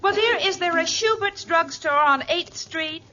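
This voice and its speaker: A woman playing a brisk, gently insistent, fretful middle-aged mother in a 1940s radio comedy, heard on a muffled vintage recording. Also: very high-pitched, very noisy.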